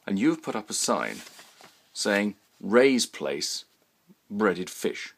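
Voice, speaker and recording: A man's voice: on the low side, very animated, slow pace, gravelly, voice pushed up, exaggerated voice. A man voicing an aggrieved but polite baker in a story reading, reasonable and plaintive. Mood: calm protest.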